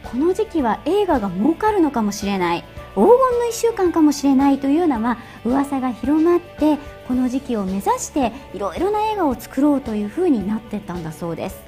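A middle-aged woman presenting scripted news in a polite, clearly articulated way. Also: high-pitched, very animated, fairly quick, few pauses, darkish tone, soft background music. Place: TV studio.

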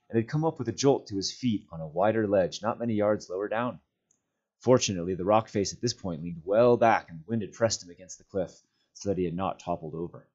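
A man with a fairly low voice narrates a fantasy novel in storytelling style. He reads as a volunteer storyteller, and the recording is a little dull and lo-fi. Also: darkish tone. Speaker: volunteer community reader.

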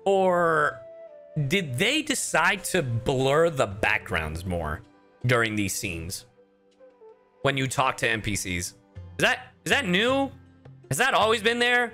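A man's voice: a little high, very animated, takes it slowly, bright, forceful tone. Male game streamer, chatty and profane, hugely varied intonation, light music bed. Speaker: livestreamer. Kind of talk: casual game commentary.

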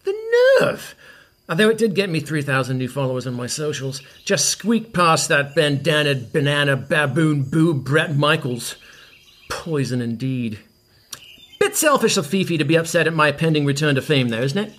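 Man playing a pompous high-voiced washed-up rock star. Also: animated, moderate pace, a bit bright. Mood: bitter scorn. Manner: pompous, petulant. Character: vain washed-up rock star, grandiose, hungover, sarcastic. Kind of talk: scripted audio comedy acting.

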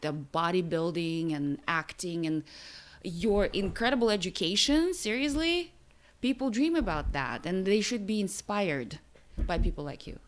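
A woman hosting a podcast interview, friendly and encouraging, with fillers. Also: lowish voice. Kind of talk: casual interview. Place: living room with table. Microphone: desk mic on stand.

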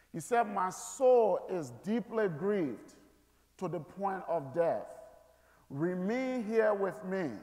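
A man in his thirties preaching a sermon from an auditorium stage in an earnest, deliberate manner. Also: high voice. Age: 30s. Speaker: guest chapel speaker. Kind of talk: sermon, preaching to a school chapel. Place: large auditorium stage, indoors. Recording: echoey auditorium with long reverb.

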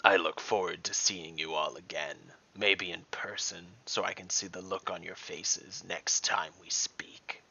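A man voicing a sinister, taunting dragon with a hissing, breathy whisper, speaking slowly and deliberately in an audio story. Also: low-pitched, steady tone, pushed and bright, dull audio, exaggerated voice. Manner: slow, deliberate. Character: sinister taunting male dragon, menacing and mocking.

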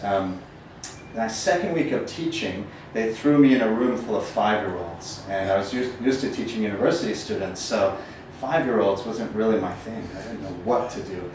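A man in his thirties from Northern Ireland with an American accent, telling travel stories in a rambling, um-filled lecture with self-deprecating humor. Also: on the low side. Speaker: poet giving a talk. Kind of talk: casual storytelling lecture. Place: small gallery room, indoors. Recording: echoey room from far off, faint noise.

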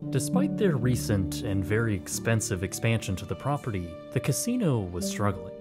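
A man narrating a documentary history in a scripted, measured voice-over. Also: fairly low pitch, wildly swooping pitch, loud music behind the voice.

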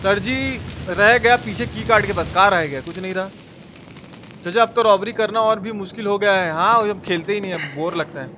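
A man's voice: high voice, a little fast, sharp tone. A man from India with a Bihari Hindi accent, giving casual, teasing, foul-mouthed live gaming commentary and chatting with viewers. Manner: colloquial, teasing, swears freely. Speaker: video game live streamer. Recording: clearly noisy, game sound behind.

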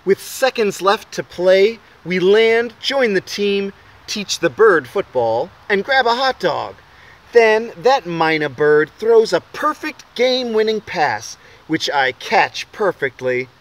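A man in his twenties reading a picture book aloud to children in an expressive, deliberate way. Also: sits high. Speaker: storybook reader. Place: indoors, against a plain dark wall.

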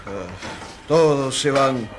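A man's voice: mid-range voice, slow, noisy background, natural voice. An actor playing a saddened, mournful village man in a radio drama. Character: saddened village man watching neighbours leave. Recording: music behind the voice.

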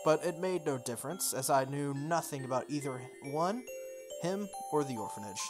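Lower-voiced game streamer reading aloud, music behind. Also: expressive, moderate pace. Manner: playful, interjects informal comments on the script. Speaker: playthrough host. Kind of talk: reading visual novel text aloud with casual asides.

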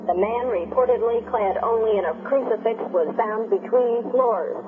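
A woman acting as a composed American field reporter, reading a formal, measured news report for a radio drama. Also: a little high, close to an everyday voice. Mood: formal, grave. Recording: noisy, tinny vintage radio remote line.

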